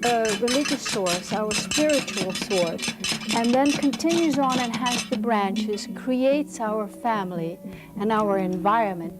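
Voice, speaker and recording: A woman's voice: a little high, measured pace, music in the background. A woman puppeteer giving an explanatory demonstration. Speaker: puppeteer presenting shadow theater.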